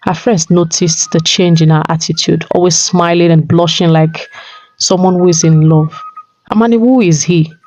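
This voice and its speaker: A woman's voice: fairly low pitch, flat delivery, normal speed, a little mellow, rough recording. A woman storyteller reading a scripted folktale narration. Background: music.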